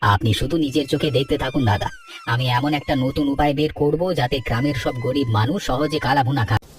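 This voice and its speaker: A man's voice: mid-range voice, fast talker, distant mic, soft background music, close to an everyday voice. A man voicing an earnest, helpful young village man in respectful cartoon dialogue. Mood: earnest, reassuring.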